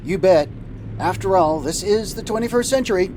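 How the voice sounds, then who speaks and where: A man's voice: sits high, expressive, moderate pace. A man hosting as a trucker captain, with easygoing, folksy, semi-scripted banter. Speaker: host playing trucker captain. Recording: loud vehicle noise.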